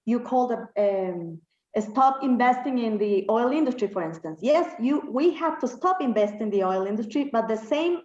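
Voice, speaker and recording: A woman from Ecuador, a health activist, giving a recorded statement and often pausing with fillers as she searches for words. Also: on the high side, animated, a little mellow. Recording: echoey, dull lo-fi room recording.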